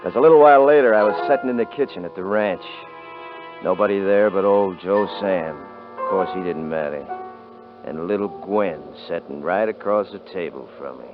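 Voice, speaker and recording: An actor playing a hard, cocky young cowboy rancher, blunt and mocking, on an old radio broadcast. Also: male voice, sits low, normal speed, close to an everyday voice. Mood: wry narrating. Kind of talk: 1950s radio drama acting. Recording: tinny 1950s radio broadcast with music.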